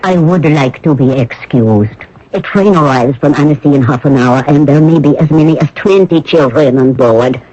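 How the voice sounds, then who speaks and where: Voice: mid-range voice, moderate pace, warm tone, slight background noise, close to an everyday voice. A performer playing a firm, concerned French nurse in a radio drama, plain-spoken and resolute. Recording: muffled old radio broadcast.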